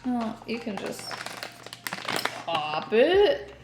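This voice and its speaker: A woman's voice: on the high side, big pitch swings, very slow, roomy sound, music in the background. A young woman from Northern New York hosting a casual baking stream, chatting and giggling as she reads her chat aloud. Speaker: streamer host. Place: home kitchen.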